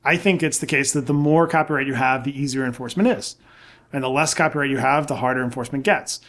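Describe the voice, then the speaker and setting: A man's voice: mid-range voice, fast, a bit bright. A man arguing casually on a podcast in an insistent, emphatic way, often repeating himself to press his point. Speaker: nonprofit director and podcast co-host. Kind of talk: casual debate, opinionated discussion.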